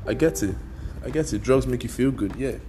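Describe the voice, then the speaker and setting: A man's voice: medium pitch, brisk, warm tone, slight background noise. A young Nigerian man whose first language is Igbo, hosting a casual solo podcast in a loose, rambling way, mixing slang and pidgin. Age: young adult. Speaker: podcast host.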